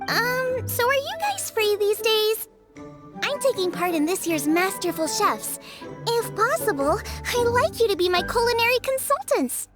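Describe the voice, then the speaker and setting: A woman's voice: extremely high pitch, big pitch swings, measured pace, heavily acted. A woman playing an eager, friendly teenage girl chef in a video game, speaking in a girlish, enthusiastic way. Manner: enthusiastic, earnest. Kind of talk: video game character acting. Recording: music playing behind the voice.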